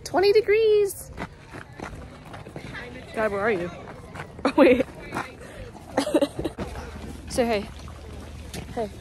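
A teenage girl filming a casual vlog on her phone, talking to viewers in a hushed morning voice and later out in the snow. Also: high voice, very slow, pauses often, background noise. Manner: whispery early-morning talk, bubbly and excited outdoors. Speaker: vlogger. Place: at home, then outdoors in snow. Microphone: handheld phone.

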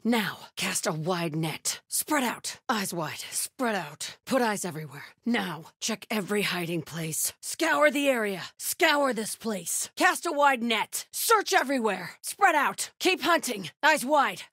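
An actress playing a fierce, menacing cult soldier, giving terse commands in video game combat barks. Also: female voice, medium pitch, lively intonation, a little slow, hardly any pauses, fairly bright tone, no echo, clean studio sound. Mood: urgent command. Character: fierce female cult soldier hunting her enemy.

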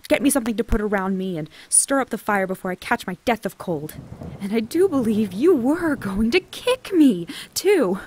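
A woman's voice: a little high, animated, moderate pace, darkish tone, a little hiss or hum, close to an everyday voice. A woman playing an indignant, scolding witch wife in a retro radio drama. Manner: scolding, exasperated.

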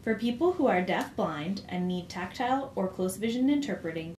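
A woman working as a voice-over interpreter, reading a public service announcement clearly and at an even pace. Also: medium pitch, moderate pace. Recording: echoey room with faint ambient hiss.

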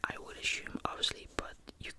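A man's voice: brisk, bright, forceful tone. A teenage man, whispering a rambling, casual chat into a close studio mic in a small bedroom. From Netherlands. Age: teens. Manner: casual, rambling, with many fillers. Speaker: ASMR YouTuber. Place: small bedroom with a sloped ceiling. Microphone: large studio mic close on the desk.

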